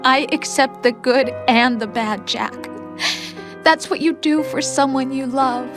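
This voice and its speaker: A high-voiced, tender, worried girlfriend. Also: measured pace. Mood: tearful devotion. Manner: gentle, pleading, emotional. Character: tender, worried young woman consoling the man she loves. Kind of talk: dramatic video game cutscene acting. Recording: music behind the voice.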